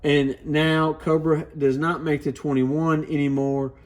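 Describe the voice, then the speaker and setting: A man's voice: a little high, little pitch movement, measured pace, a little mellow. A man hosting a video, giving a casual, informal product overview and explaining things as he goes. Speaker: host of a CB radio channel.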